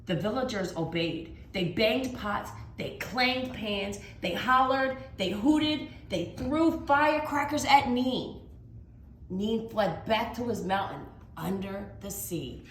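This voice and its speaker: Woman, teacher reading aloud, expressive storybook narration, emphatic delivery, roomy echo, faint noise. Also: mid-range voice. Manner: dramatic, with emphatic drawn-out words.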